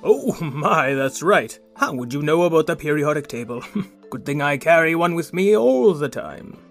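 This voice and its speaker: A man's voice: fairly high pitch, animated, moderate pace, creaky, faint music behind. A man playing a vain, fussy but kindly inventor in a children's audio drama, formal and explanatory in an old-fashioned way. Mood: patiently explaining.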